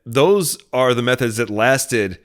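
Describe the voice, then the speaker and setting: A man's voice: medium pitch, animated, slow. A man hosting a casual, conversational interview as the interviewer. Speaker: interviewer, podcast host. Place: remote video call.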